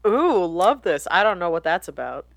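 A woman's voice: lowish voice, big pitch swings, normal speed, vocal fry, dry recording. An American woman co-hosting a podcast, bantering casually with wry, playful, sweary humor. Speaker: podcast co-host.

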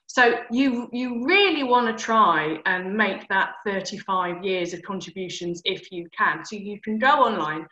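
Casting: woman guest with a bright, tense voice, clear explainer, dull and roomy recording. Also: mid-range voice. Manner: clear, practical, explaining step by step. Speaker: personal finance guest. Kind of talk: explanatory advice in conversation. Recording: dull echoey room sound.

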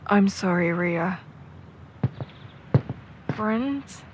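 A woman playing a warm, playful, sentimental young man in a naturalistic audio drama. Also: medium pitch, lively intonation, very slow, a little hiss or hum. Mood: wistful, apologetic.

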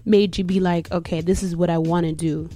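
A woman's voice: lowish voice, fairly even intonation, a little fast, warm tone, soft background music. A woman hosting a casual interview, who encourages and affirms her guest often.

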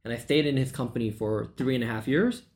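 A man in his thirties, originally from Malaysia, speaking as a podcast guest at a middle pitch. He tells his story in long, run-on stretches, full of fillers and quick asides. The tone is conversational. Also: darkish tone. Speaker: sales coach guest. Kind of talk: podcast interview.

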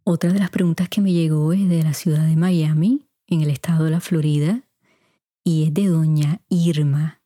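A middle-aged woman with a mostly neutral Puerto Rican Spanish accent, hosting a podcast and answering listener questions in an unhurried, chatty way, speaking straight to her listeners. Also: deep voice, steady tone, mellow, dry recording, studio quality. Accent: Puerto Rican Spanish, kept mostly neutral. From Puerto Rico. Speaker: podcast host. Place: home recording room. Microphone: studio mic.

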